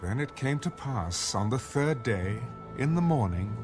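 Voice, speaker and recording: A man's voice: mid-range voice, animated, unhurried. A man narrating a dramatized scripture reading in a scripted storytelling style. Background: loud music.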